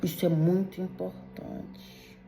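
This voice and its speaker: Slow-talking, low-pitched middle-aged woman. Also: little pitch movement, creaky, music in the background. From Belgium. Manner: emphatic, admonishing, with frequent tag questions. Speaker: vlogger giving warnings to Brazilian migrants. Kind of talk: direct-to-audience opinion monologue.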